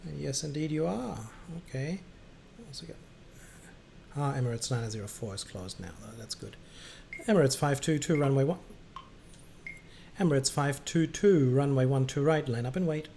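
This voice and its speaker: A man's voice: medium pitch, lively intonation, moderate pace, long pauses, warm tone. A man hosting a gaming livestream, chatting casually and hesitantly between air traffic controller calls. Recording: clearly noisy background.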